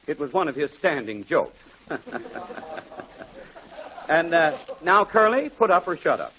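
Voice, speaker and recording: A man's voice: a little high, sing-song, measured pace, bright, forceful tone, exaggerated voice. A man playing a jovial, joking quizmaster on a 1940s radio comedy show. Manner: jovial, corny, chuckling. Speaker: quiz show host. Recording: faintly noisy 1940s radio recording.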